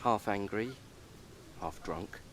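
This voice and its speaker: An actor playing a tipsy, sulky young son with hurt feelings in a radio soap. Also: male voice, lowish voice, takes it slowly, a bit bright, a little hiss or hum. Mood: subdued, resentful.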